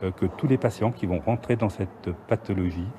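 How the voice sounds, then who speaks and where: A man's voice: on the low side, fairly quick, a crowd in the background. A man in charge of health coordination, explaining spontaneously in an interview. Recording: noisy urban ambience.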